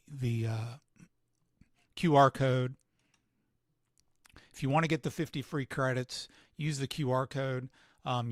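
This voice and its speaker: A man giving a casual live software tutorial, thinking aloud with fillers, his voice starting to go. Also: mid-range voice, dry recording, studio quality. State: losing his voice. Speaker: photographer demonstrating editing software.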